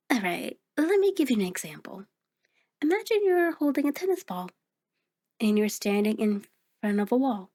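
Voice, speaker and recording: A woman's voice: fairly high pitch, darkish tone, exaggerated voice. A woman voicing a sentient mirror reflection in an ASMR audio roleplay, speaking directly to the listener in a conversational, hesitant, explanatory way. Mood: patient, explanatory. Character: sentient female mirror reflection, sheepish, chatty, patient explainer.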